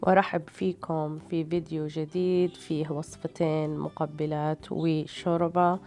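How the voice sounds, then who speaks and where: امرأة بصوت متعب ونبرة رتيبة. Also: female voice, deep voice, level tone, normal speed, mellow. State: tired, strained voice. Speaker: cooking channel host. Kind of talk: casual recipe voice-over narration. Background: background music.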